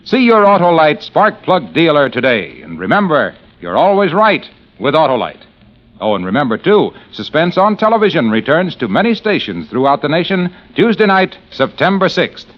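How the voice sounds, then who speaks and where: A man's voice: a little high, big pitch swings, normal speed. An American man announcing and selling on a 1940s radio show in an emphatic, salesmanlike style. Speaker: radio announcer and sponsor pitchman. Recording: muffled vintage radio broadcast.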